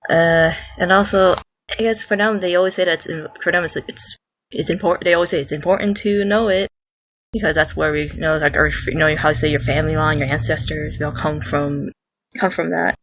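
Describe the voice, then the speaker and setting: A woman co-hosting a casual podcast, talking haltingly with many false starts and fillers. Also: low voice, steady tone, a bit bright, slight background noise, poor audio. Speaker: podcast co-host.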